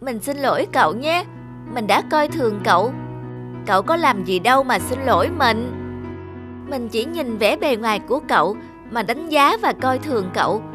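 A woman voicing a gentle, humble talking bowl in an animated fable, with an earnest manner. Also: a little high, moderate pace, music in the background, lightly acted. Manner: soft-hearted, earnest.

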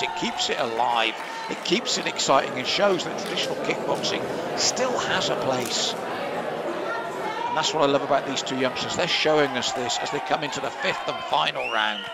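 A man giving live ringside commentary on a kickboxing bout, analytical and offering his own view of the scoring. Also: medium pitch. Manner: analytical, gives personal opinions on the scoring. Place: indoor kickboxing venue with live audience. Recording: loud crowd and venue noise behind.

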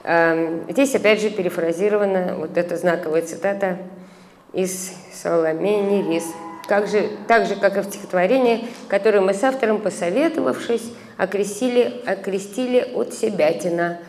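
Middle-aged woman lecturer at a lectern mic, measured academic reading, long hall reverb, faint hiss. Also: mid-range voice, a little mellow. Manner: measured reading with hesitant fillers, recites verse. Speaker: conference speaker, translator. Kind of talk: reading an academic paper aloud. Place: conference hall, indoors. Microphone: stand microphone at a lectern.

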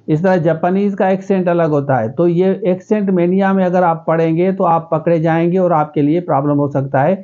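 Earnest Sindhi-accented man with mellow tone. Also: a little high, muffled recording. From Pakistan. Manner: earnest, gestures with both hands. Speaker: educationist giving interview advice. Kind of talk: direct-to-camera advice vlog. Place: small indoor room.

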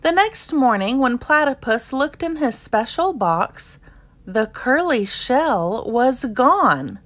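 Slow, animated woman storyteller. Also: high voice. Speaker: storybook reader. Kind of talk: expressive children's read-aloud narration.

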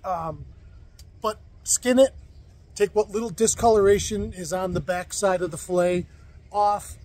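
A man's voice: high voice, a little slow, darkish tone. A man hosting a fishing show outdoors by a lake, chatting casually and rambling with fillers and restarts. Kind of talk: casual outdoor chat and demonstration. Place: lakeside outdoors.

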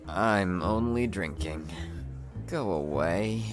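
A man playing a surly, bitter drunken sailor in a noir radio drama, slow and grumbling. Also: low-pitched, soft background music. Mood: groggy, annoyed.

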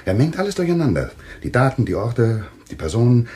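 An actor playing a composed, authoritative doctor in a German radio thriller, speaking deliberately and insistently. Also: male voice, mid-range voice, big pitch swings, warm tone, natural voice. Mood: explaining. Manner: deliberate, explanatory, emphatic. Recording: slight background noise with clicks.

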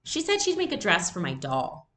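Woman as stubborn little girl, raised pitch, insistent, boastful, storybook character. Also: wildly swooping pitch, moderate pace, fairly bright tone. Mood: curious.

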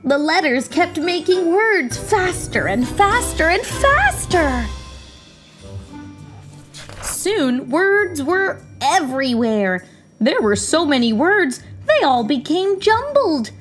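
A woman reads a picture book aloud for children in a high voice. Her pace is slow and deliberate, and her pitch moves theatrically. Faint music plays behind her. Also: very animated, a bit bright. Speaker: children's read-aloud host. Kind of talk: expressive storybook narration. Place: small indoor room.

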